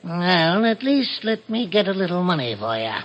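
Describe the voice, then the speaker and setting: A man's voice: high-pitched, sing-song, normal speed, fairly bright tone, highs cut off. An actor playing a brash, cocky stickup man in a mid-century radio drama, breezy and commanding. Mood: sly, amused.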